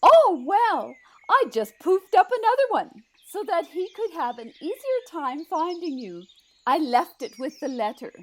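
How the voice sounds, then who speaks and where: A playful fairy godmother for a fairytale audio drama. The voice is extremely high and lilts widely. She sounds sweet, meddling and teasing. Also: big pitch swings, a little slow, heavily acted. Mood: cheerful, breezy. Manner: sweet, sing-song, teasing.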